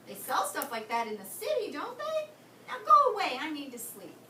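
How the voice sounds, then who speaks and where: A woman voicing a grumpy, sleepy old male owl in a storybook, scratchy, gruff and impatient. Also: sits high, huge pitch swings, moderate pace, bright, forceful tone, exaggerated voice. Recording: echoey room, far from mic, background noise.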